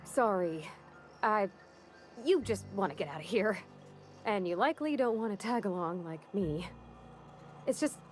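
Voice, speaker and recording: An actress playing a shy, earnest young mechanic in a video game, with a hesitant, folksy and apologetic way of talking. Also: female voice, lowish voice, close to an everyday voice. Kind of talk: video game character acting.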